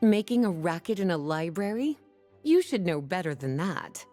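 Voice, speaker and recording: A woman voicing a teasing, flirtatious librarian mage in a video game, speaking in a soft, playful, composed way. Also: fairly low pitch, big pitch swings, moderate pace, loud music behind the voice.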